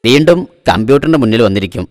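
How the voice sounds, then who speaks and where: A man's voice: mid-range voice, animated, racing, a little mellow, no echo, clean studio sound, in a large hall, natural voice. A man voicing a boastful, easily exasperated self-styled computer expert in a comic cartoon. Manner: pompous, boastful.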